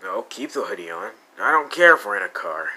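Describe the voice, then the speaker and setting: A man's voice: lowish voice, sing-song, brisk, pushed and bright, a little hiss or hum, rough recording. A man voicing a brooding young male villain with blue fire, muttering and sometimes whispering in a fan audio roleplay.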